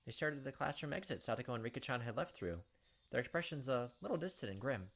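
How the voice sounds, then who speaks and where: A man's voice: medium pitch, expressive, quick pace, a bit bright, a little hiss or hum. A man hosting a gaming video, reading visual novel narration and commenting casually.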